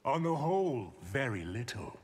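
An actor playing a scheming, treacherous sorcerer in a video game, speaking in a deliberate, mocking, theatrical way. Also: male voice, medium pitch, sing-song, very noisy, close to an everyday voice. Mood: smug. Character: scheming, sneering male sorcerer, treacherous and menacing. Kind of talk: video game villain acting. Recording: long reverb under loud game music.

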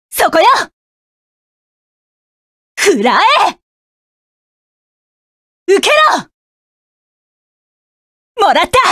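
A woman's voice: very high-pitched, no room sound, clean studio sound, exaggerated voice. An actress voicing a fierce, cold young female mecha pilot in a game, giving curt, commanding battle lines. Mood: combat. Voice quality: sharp, commanding.

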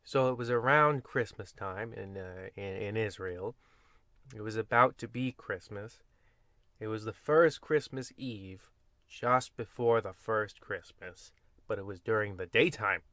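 A man's voice: lowish voice, measured pace, fairly bright tone, no room sound. A man narrating in the style of an old-time horror radio host, played for comedy, with rambling, folksy and wry asides. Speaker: host and storyteller of a radio anthology.

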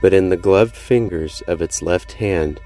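A man's voice: deep voice, a little slow, dark tone. A man narrating a first-person horror story in a dramatic, suspenseful storytelling manner. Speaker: podcast host and narrator. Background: music behind the voice.

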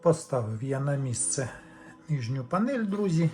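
A man's voice: mid-range voice, very animated, moderate pace, mellow, faint music behind. A man casually narrating a hands-on repair for his viewers, explaining step by step in a plainspoken way. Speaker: electronics repair vlogger. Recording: clearly noisy home-made video recording.

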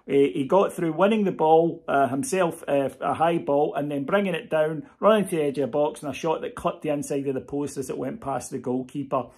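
A man in his forties, hosting a podcast and giving his post-match reaction in an expressive, animated way, with fillers and restarts, recorded on a close webcam at home. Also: fairly high pitch, brisk, hardly any pauses, husky. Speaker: podcast host. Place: home room full of football memorabilia.

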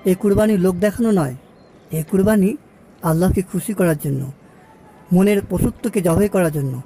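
An earnest, pious uncle in a cartoon, played by a man. His voice is a little high and very mellow. He talks like someone giving moral advice, with light music behind him. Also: normal speed, husky. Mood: earnest, correcting. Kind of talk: amateur cartoon dialogue.

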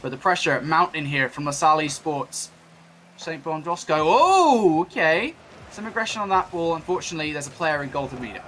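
A British man commentating a high school esports match, chatty with jokey asides. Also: big pitch swings, fairly quick, a bit bright, slight background noise. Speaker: esports caster.

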